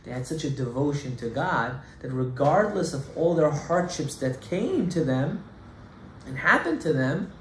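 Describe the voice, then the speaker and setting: A male rabbi teaches a Torah class in a somewhat high voice, pressing his points with rhetorical questions and sudden loud outbursts. His speech is peppered with Hebrew terms. The room is echoey, with a faint hum of noise behind him. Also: sing-song, a little slow. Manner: emphatic, rhetorical questions, acts out objections loudly. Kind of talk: animated Torah lecture.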